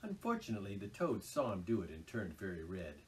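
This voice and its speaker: A man reading a children's classic aloud in an expressive, unhurried storytelling manner. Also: low voice, recorded from a distance, slight background noise. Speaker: storybook reader.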